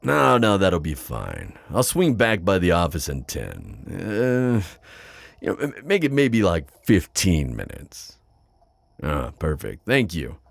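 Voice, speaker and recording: A man playing a suave, skeptical demon buyer in an ASMR audio roleplay, with a deep voice and a sardonic, halting manner. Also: wildly swooping pitch, gravelly, no room sound, exaggerated voice. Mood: shrewd, bargaining. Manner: flirty, sardonic, hesitant pauses and drawn-out words.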